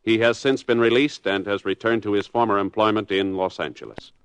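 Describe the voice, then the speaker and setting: A man announcing in formal, measured 1950s radio style, reading scripted narration. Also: lowish voice, fairly even intonation, slight background noise.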